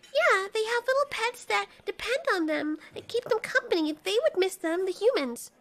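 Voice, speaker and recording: A sweet young female kaiju from a monster audio drama, very high and bright. She chatters earnestly and eagerly, with lots of bounce in her pitch. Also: animated, normal speed, exaggerated voice.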